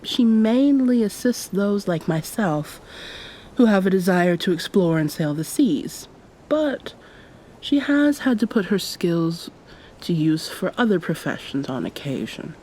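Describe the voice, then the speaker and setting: Woman playing a soft, low, mellow pirate succubus captain. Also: expressive, measured pace, a little hiss or hum, rough recording. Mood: earnest. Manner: soft, breathy, often near-whisper, formal old-fashioned phrasing. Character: female pirate succubus captain, centuries old, affectionate, teasing, commanding. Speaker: ASMR roleplay performer. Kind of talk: intimate ASMR roleplay.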